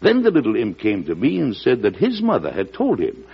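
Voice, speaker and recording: An actor with an American accent playing a shrewd, genial, avuncular president in an old-time radio drama, in a deliberate storytelling manner. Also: male voice, lowish voice, huge pitch swings, vocal fry, husky, full character voice. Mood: wry, confiding. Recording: dull lo-fi vintage radio broadcast.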